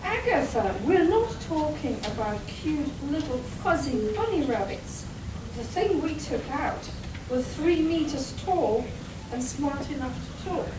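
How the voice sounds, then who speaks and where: A woman playing an excitable, playful talking cat in a live comedic radio play. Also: high voice, measured pace. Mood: sceptical. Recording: echoey hall, far mic, noisy.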